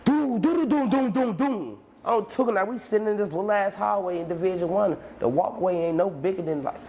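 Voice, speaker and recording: A man telling an animated story, shouting and imitating people. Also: sits high, a little fast. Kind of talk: storytelling clip. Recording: noisy clip with ambience.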